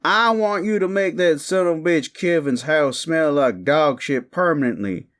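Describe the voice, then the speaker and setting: A man's voice: a little high, moderate pace, a bit bright, natural voice. A man playing a petty, vengeful, foul-mouthed guy in a comic audio roleplay, indignant and blunt.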